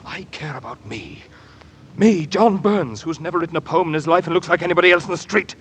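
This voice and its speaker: A man's voice: on the high side, fairly quick, fairly bright tone, breathy edge. An actor playing a bitter, hot-tempered young man with a guilty secret in an old radio drama, clipped and intense. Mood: furious outburst. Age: young adult. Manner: intense, clipped, swinging from muttered to explosive. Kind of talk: mid-century American radio drama acting. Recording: old radio recording, faint noise.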